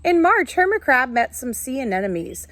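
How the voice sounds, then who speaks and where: A woman's voice: sits high, big pitch swings, normal speed, fairly bright tone, dry recording. A woman teacher reading a picture book aloud to young children, instructing and explaining simply as she goes. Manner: instructive, addresses young listeners with simple questions.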